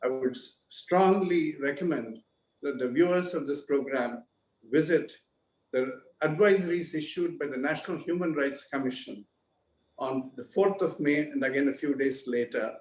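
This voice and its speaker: Earnest man, slightly high voice, echoey. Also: a little mellow. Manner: earnest, measured, emphatic. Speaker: panelist. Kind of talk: formal webinar remarks. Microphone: video call.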